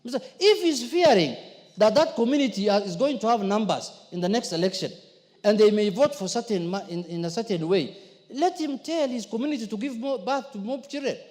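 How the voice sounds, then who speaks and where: A man's voice: high voice, animated, a little fast, darkish tone. A Kenyan man, a member of parliament, giving a fiery, indignant floor speech at a desk microphone in a parliament chamber. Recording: long reverb of a large chamber.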